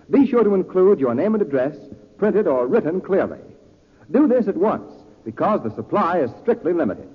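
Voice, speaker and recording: A man's voice: a little high, husky. An American man reading scripted 1940s radio announcements in a dramatic, emphatic manner. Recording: muffled, reverberant 1940s radio recording with music.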